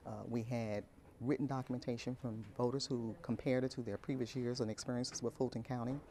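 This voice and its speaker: A middle-aged woman with an American accent answers an interview in measured, official phrasing in a meeting room. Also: very deep voice, fairly even intonation, mellow, slight background noise. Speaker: elections official.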